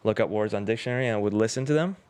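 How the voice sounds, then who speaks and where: A man in his twenties from Uzbekistan, a Russian native speaker, talking as a podcast guest in a relaxed, rambling way with many fillers. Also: fairly low pitch, rapid-fire, clean tone. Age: 20s. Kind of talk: casual interview chat.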